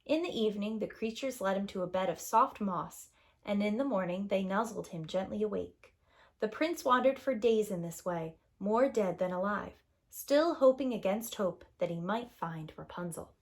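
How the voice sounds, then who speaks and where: Expressive woman reading a children's storybook. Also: mid-range voice, normal speed. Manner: expressive, dramatic phrasing. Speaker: storyteller, preschool teacher. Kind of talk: scripted storybook narration for young children.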